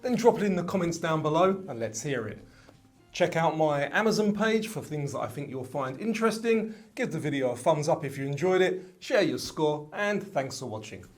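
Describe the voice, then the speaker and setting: A man's voice: a little high, expressive, moderate pace. A man presenting a quiz as scripted narration, reading out questions and answers. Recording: music bed under the voice. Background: music.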